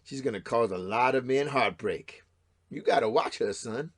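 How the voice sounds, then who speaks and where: A man's voice: medium pitch, moderate pace, natural voice. An actor playing a crude, menacing middle-aged father in an audio play, with swaggering, goading macho talk. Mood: sly, probing. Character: menacing, crude middle-aged father, estranged husband, needling his son.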